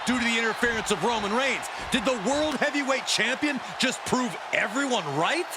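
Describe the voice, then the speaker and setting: A dramatic wrestling announcer narrates the storyline in a game. He speaks in a raised, very high voice. The arena behind him is clearly noisy. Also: moderate pace. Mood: dramatic, hyped. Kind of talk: sports commentary in a video game.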